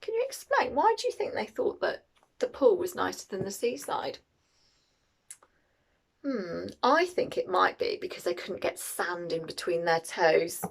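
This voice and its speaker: Female picture-book reader for children, expressive and lively, husky with some creak. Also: lowish voice, measured pace. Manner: expressive, questioning, teacherly. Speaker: storyteller reading to children. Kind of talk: animated picture-book read-aloud.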